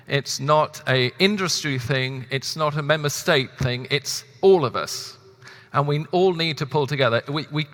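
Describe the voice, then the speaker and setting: A man's voice: a little high, sharp tone. Earnest British man in a large hall. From half British, half Spanish. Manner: earnest, hesitant with many fillers. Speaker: director moderating a closing session. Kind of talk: conference moderation speech. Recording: long reverb of a conference hall.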